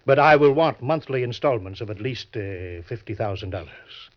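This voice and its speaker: A man's voice: mid-range voice, lively intonation, moderate pace, natural voice. An actor playing a suave, calculating older con man, formal and avuncular, in an old-time radio crime drama. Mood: smoothly menacing. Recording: muffled vintage radio recording.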